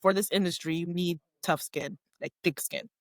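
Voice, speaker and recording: A woman's voice: on the low side, moderate pace, dry recording, clean studio sound. A woman in her twenties, born in Haiti and raised in South Florida, chatting as an interview guest and telling stories with lots of "like" fillers. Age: 20s. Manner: chatty, animated storyteller, many "like" fillers. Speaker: guest, professional wrestler. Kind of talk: casual interview chat.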